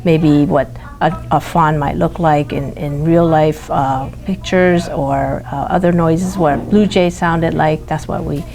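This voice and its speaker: A woman speaking as a teacher in an interview, explaining her classroom practice haltingly as she feels for words. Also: sits low, fairly even intonation, a little mellow, faint music behind.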